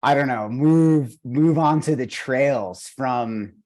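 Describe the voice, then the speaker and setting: Slow, enthusiastic Argentinian coach. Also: male voice, mid-range voice, a little mellow. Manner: enthusiastic, rambling with many fillers. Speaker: running coach, podcast host. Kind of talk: conversational podcast interview. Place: video call.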